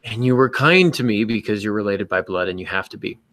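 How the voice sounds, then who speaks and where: A man's voice: on the low side, lively intonation, a little fast, fairly bright tone, no room sound. A man co-hosting a podcast, speaking casually but emphatically and bluntly, acting out little exchanges as he gives advice. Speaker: podcast co-host and writer.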